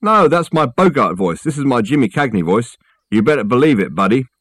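A man's voice: mid-range voice, very animated, fairly quick, a bit bright, breathy edge, no echo, voice pushed up. A man voicing a short, wiry, chatty college porter in an audiobook, boastful about his own impressions.

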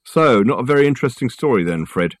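Casting: big, imperturbable college porter, played by a male reader, formal, deadpan, dry studio storybook sound. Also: mid-range voice, fast, no echo, voice pushed up, natural voice. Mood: dry, unimpressed. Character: large, unflappable, deadpan college porter, man.

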